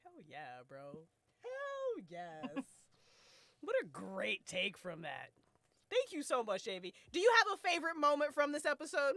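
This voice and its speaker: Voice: sits high, huge pitch swings, measured pace, bright, forceful tone, dry recording. A podcast host chatting casually and off the cuff, effusive and emphatic, with plenty of swearing. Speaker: host and producer of a fiction podcast. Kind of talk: casual, unscripted chat.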